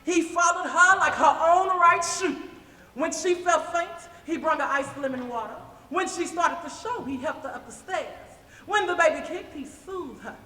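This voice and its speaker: Haunted, high-voiced prophetic enslaved woman. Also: normal speed, bright, forceful tone. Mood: intense, grieving. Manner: incantatory, fervent storytelling. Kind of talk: dramatic stage monologue. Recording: echoey noisy hall, stage performance.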